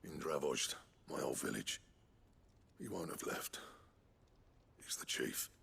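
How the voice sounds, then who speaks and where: Gruff proud smith, low gravelly voice, swooping delivery, blunt grumbling, video game, music underneath. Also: male voice, sing-song, slow pace, fairly bright tone, full character voice. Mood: reluctant, subdued. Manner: grumbling, blunt, colloquial, sweary. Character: gruff, proud, foul-mouthed village-born master blacksmith.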